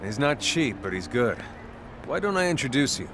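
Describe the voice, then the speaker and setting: A man's voice: mid-range voice, big pitch swings, normal speed, background noise, lightly acted. A man playing an easygoing, helpful military crew leader in a video game cutscene. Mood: helpful, sincere.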